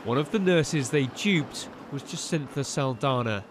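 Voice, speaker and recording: A man's voice: medium pitch, animated, measured pace, noisy background. A man delivering a scripted news report as a television reporter.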